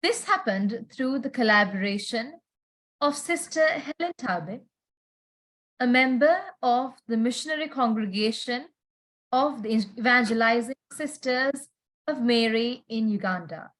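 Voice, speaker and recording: A woman moderating a panel, reading scripted introductions in a formal, measured way. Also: a little high, fairly bright tone, rough recording.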